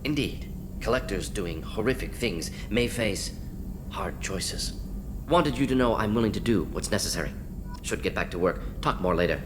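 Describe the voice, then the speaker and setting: A brisk, pragmatic alien scientist from a video game, acted by a man, speaks in a lowish male voice. The sentences are stripped down to clipped fragments and lists, with a clear rumble of noise behind. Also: animated, lightly acted. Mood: resolute. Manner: clipped telegraphic phrases, rapid-fire listing. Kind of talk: video game dialogue acting.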